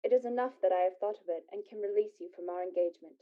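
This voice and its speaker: A woman's voice: medium pitch, fast, natural voice. A woman playing a sorrowful, dignified young fiancee in a radio play, speaking in an earnest, formal and reproachful manner. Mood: sorrowful, resolute. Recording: slightly dull audio.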